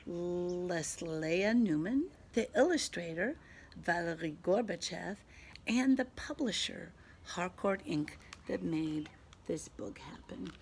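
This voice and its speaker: A woman teacher doing an interactive read-aloud for children outdoors, expressive, asking questions and pausing for answers. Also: lowish voice, creaky. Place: outdoors in a back garden. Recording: faint outdoor background noise.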